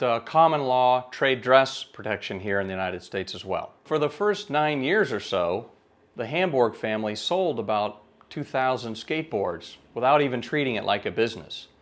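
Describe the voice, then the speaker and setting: A man in his forties lays out business details in a measured, businesslike tone. He speaks to camera as the manager of a small company, steady and matter-of-fact. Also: medium pitch. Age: 40s. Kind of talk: pitch video talk to camera.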